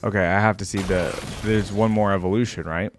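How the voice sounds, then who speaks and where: A man's voice: low-pitched, steady tone, a little fast, background noise. A man giving casual, slangy reaction commentary as a video host, thinking aloud as he goes.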